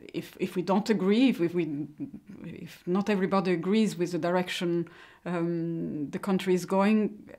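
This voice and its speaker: Low, even-voiced middle-aged woman. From born in Mexico, grew up in Italy. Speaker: interviewee giving personal testimony. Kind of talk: personal account to camera.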